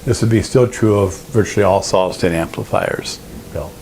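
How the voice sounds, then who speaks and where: A man presenting an informal technical lesson, explaining step by step in a halting, explanatory way. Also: low-pitched, darkish tone, a little hiss or hum, rough recording. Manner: explanatory, halting, with frequent throat clearing. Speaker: presenter of an audio engineering lesson.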